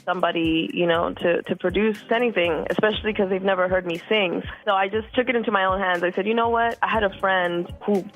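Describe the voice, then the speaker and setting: A woman in her thirties, from Costa Rica, talking casually as a music guest, relaxed, with many fillers and repeated words. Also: medium pitch, brisk, hardly any pauses, low-quality recording. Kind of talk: casual interview chat.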